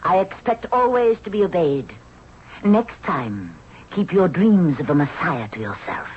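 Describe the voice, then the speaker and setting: A woman's voice: on the low side, sing-song, a little slow. Haughty, imperious young queen. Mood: stern, commanding. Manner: haughty, commanding. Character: proud, imperious young queen of ancient Egypt, quick to punish. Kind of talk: 1970s radio drama acting. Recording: dull, noisy old radio broadcast recording.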